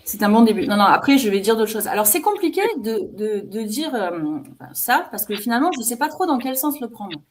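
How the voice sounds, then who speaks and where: A woman hosting an interview on her channel, framing her questions hesitantly with many pauses. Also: a little high, lively intonation, a little hiss or hum.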